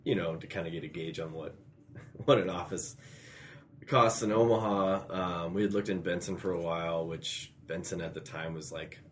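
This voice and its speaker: A man, a graphic designer guest, telling a story in a relaxed way and laughing often. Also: sits low, normal speed, slight background noise. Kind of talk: casual interview chat.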